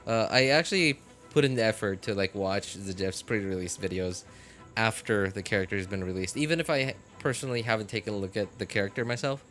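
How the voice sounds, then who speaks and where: A man talking casually on a game live stream, rambling with many fillers and getting animated about his opinions. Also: fairly low pitch, fast, soft background music. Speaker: gaming streamer.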